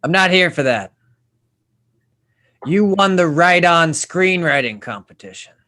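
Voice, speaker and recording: A man's voice: fairly high pitch, animated, unhurried, sharp tone. A man playing a nervous, apologetic screenwriter in a table read, speaking in a hesitant, awkward way. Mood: guarded, firm. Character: nervous, apologetic young screenwriter out of his depth.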